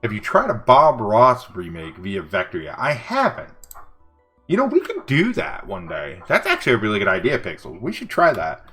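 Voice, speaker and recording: Deep-voiced bearded streamer, lively. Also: male voice, moderate pace, husky, soft background music. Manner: relaxed, rambling, reads and answers chat. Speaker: livestreaming vector artist. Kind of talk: casual livestream chat while drawing. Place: home studio desk.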